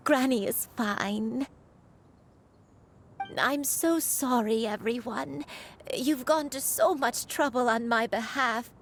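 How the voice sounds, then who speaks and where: A woman voicing a gentle elderly grandmother, soft and apologetic as her memories return. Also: a little high, takes it slowly, slight background noise. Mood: tender.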